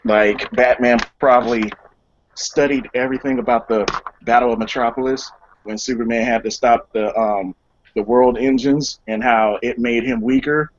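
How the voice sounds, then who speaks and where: A man's voice: mid-range voice, steady tone, normal speed. A man explaining things informally as a panelist in a group discussion. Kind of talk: casual explanatory chat. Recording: dull lo-fi recording.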